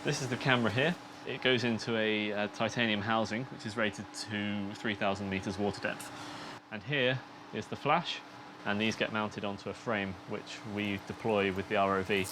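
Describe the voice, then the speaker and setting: A man's voice: on the low side, fairly even intonation, moderate pace, noisy background. A man, a scientist, methodically explaining his camera equipment.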